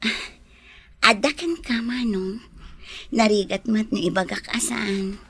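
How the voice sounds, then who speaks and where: A woman's voice: medium pitch, steady tone, unhurried, fairly bright tone, husky. A woman playing a forthright young lady of the house in an Ilocano radio drama. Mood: curious, matter-of-fact.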